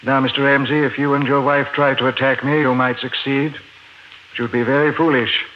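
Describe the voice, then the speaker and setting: An actor playing a formal, insistent former professor turned polite kidnapper, with an American accent in 1950s radio drama style. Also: male voice, medium pitch, level tone, moderate pace, close to an everyday voice. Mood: calm, commanding. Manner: formal, insistent, reassuring while threatening. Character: calm, doom-obsessed former professor, a polite gun-wielding kidnapper. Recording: dull, noisy 1950s radio recording.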